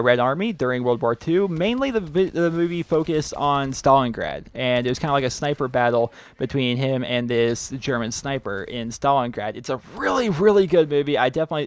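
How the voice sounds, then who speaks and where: Fast-talking young man losing his voice. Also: medium pitch, animated, clear, resonant tone. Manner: rambling, filler-heavy, "like" after every few words. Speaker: YouTube gaming channel host. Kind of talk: casual chat answering viewer questions.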